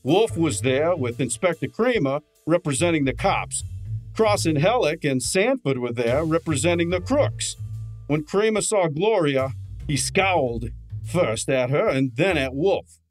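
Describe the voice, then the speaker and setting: Man as a higher-pitched, wry detective's sidekick. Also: lively intonation, a little slow, faint music behind. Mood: brisk, wry. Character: brisk, wry male detective's assistant, narrating. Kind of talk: hard-boiled radio drama narration.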